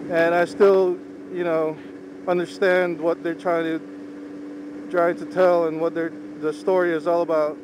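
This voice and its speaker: A young man whose first language is Tagalog chats while driving, in a fairly high, unvarying voice. He talks slowly and unhurriedly, pausing as he looks for words. A faint car engine hums under his talk. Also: flat delivery. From Philippines. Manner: slow, unhurried, halting with pauses. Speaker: vlogger. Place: inside a moving car. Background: car engine and road noise.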